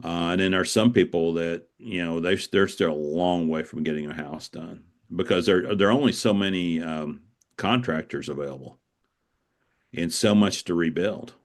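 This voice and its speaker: A man's voice: low voice, normal speed. A man speaking as an interviewed author, explaining things step by step in an informative, conversational way.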